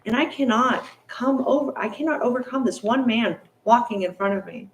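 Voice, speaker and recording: A woman's voice: on the high side, normal speed, far from the mic, slight background noise, voice pushed up, close to an everyday voice. A woman playing a feared male bandit who is confused and frantic, asking puzzled questions, in an echoey room. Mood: baffled.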